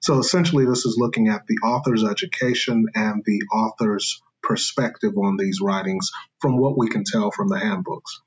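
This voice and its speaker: Male scholar guest, low husky voice, careful lecturing delivery. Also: low-quality recording. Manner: careful, lecturing, with fillers and restarts. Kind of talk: explaining in a podcast interview.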